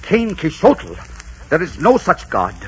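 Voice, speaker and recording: Conquistador hero: very husky, swooping delivery, headstrong and impassioned, dull old radio recording. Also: male voice, on the high side, huge pitch swings, a little slow, exaggerated voice. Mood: indignant, urgent. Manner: melodramatic, impassioned, emphatic. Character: passionate Spanish conquistador, headstrong romantic hero. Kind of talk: 1940s radio drama acting.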